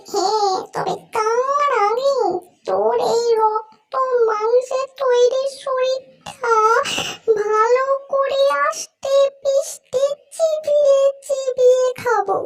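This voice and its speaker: A woman voicing a vengeful female ghost who hungers for human flesh, with a shrill, wailing, menacing delivery. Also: heavily acted. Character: vengeful female shakchunni ghost, hungry for blood.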